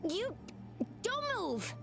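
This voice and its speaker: An actress playing an alarmed, confrontational guard who gives orders in a video game. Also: female voice, very high voice, huge pitch swings, very slow, gravelly, exaggerated voice. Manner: urgent, confrontational.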